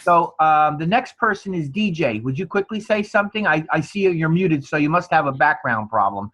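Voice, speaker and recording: A man's voice: on the high side, expressive, moderate pace, fairly bright tone. A man with a New Jersey accent teaching an informal online class in a chatty, encouraging way. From New Jersey, USA. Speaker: college instructor. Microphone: online class call. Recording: dull, band-limited online class audio.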